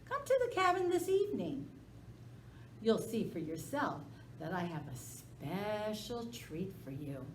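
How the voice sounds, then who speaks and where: A woman voicing a sly, sassy old male dog who whines and howls in a high, pleading voice. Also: animated, takes it slowly, few pauses, a little mellow, roomy sound, a little hiss or hum. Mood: wheedling. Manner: whining, howling, high and pleading.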